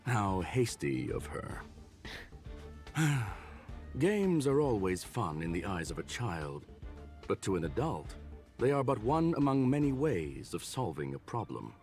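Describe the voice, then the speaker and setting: An actor playing a courteous, calculating scholarly gentleman in a video game, speaking in a formal, measured, polite way. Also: fairly low pitch, very animated, faint music behind. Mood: smug, measured.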